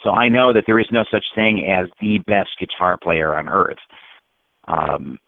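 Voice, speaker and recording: A man with a low voice talks casually and reflectively as an interview guest. His thoughts meander in halting phrases. The sound is narrow like a phone call, very dry and without room echo. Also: vocal fry. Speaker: musician and songwriter, interview guest. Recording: thin, dry, phone-like line.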